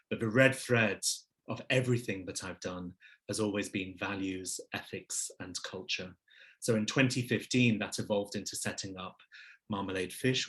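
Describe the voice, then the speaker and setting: Low-voiced middle-aged man telling his story. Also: fairly bright tone. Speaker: podcast guest, business leader. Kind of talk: polished interview storytelling.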